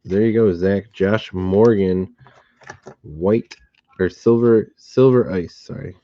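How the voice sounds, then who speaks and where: A slightly hoarse man hosting a card box break, calmly listing each card and who it goes to. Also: low voice, dry recording, dull audio. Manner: steady, unhurried listing of cards and recipients. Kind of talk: relaxed live box-break commentary.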